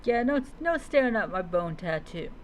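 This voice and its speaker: A woman streamer role-playing in character as a dragon rider in a game, speaking haltingly and repeating words. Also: mid-range voice, sing-song, a little hiss or hum, poor audio. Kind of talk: in-character game roleplay storytelling, talking to pet creatures.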